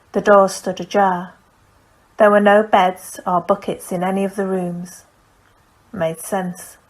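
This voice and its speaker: A woman's voice: mid-range voice, poor audio. Woman narrating a fiction audiobook.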